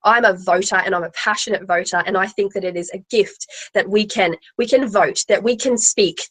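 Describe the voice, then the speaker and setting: A woman's voice: mid-range voice, a bit bright, poor audio. A woman with a big voice, speaking emphatically and repeating phrases for stress on a live tarot panel. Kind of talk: opinionated live panel talk.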